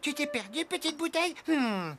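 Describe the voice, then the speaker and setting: A woman's voice: sits high, expressive, normal speed, over music. A woman voicing a fussy, scatterbrained elf in a children's cartoon, muttering and dithering. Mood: flustered. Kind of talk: French children's cartoon dubbing.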